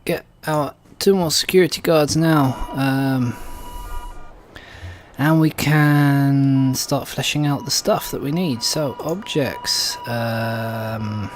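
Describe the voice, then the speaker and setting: A man giving casual let's play commentary, thinking aloud in a hesitant way as he goes. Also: medium pitch. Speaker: gaming video host.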